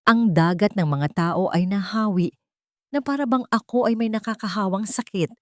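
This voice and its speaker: A woman with a Filipino accent narrating a scripted dramatic story in the first person, in a measured, emotive way. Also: medium pitch, no echo, clean studio sound.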